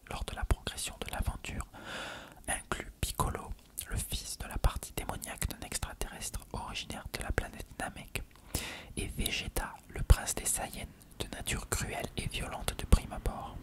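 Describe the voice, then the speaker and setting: An ASMR reader whispers a scripted text in a hushed, breathy, measured way. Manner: hushed, measured reading of an encyclopedia-style text.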